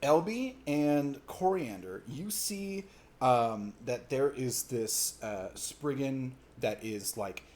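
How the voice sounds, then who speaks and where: A man narrating a tabletop role-playing game as game master, in halting, conversational descriptions. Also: medium pitch, lively intonation, a little mellow.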